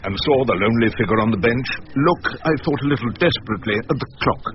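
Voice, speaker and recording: An actor playing a wry elderly English barrister, narrating his own story in a dry, measured, literary way for radio drama. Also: medium pitch, lively intonation, highs cut off, a crowd in the background, natural voice.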